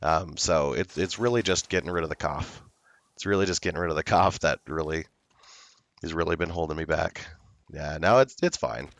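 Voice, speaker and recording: A young man streaming a game, with a hoarse voice from illness, chatting slowly and loosely. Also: rumbling low, a bit bright, no echo. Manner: slow, rambling, laid-back talk. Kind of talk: casual livestream chat.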